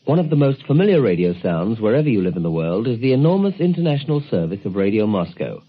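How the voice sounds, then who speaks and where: A man's voice: on the low side, very animated, quick pace, slightly rough. A man presenting a radio documentary in scripted narration, heard through a thin recording with music behind. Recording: thin phone-like sound, loud music behind.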